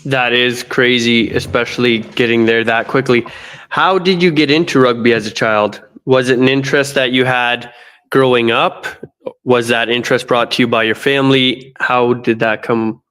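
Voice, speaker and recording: A man from North America hosting a podcast interview, asking his guest steady strings of questions. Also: mid-range voice, moderate pace, clear, resonant tone. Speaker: podcast host.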